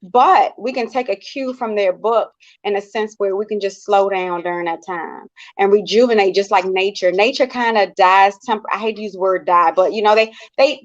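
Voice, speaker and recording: An American woman in a casual panel discussion, speaking in an earnest, expressive, conversational way. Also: mid-range voice. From United States. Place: online video call. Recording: dull, lo-fi online call.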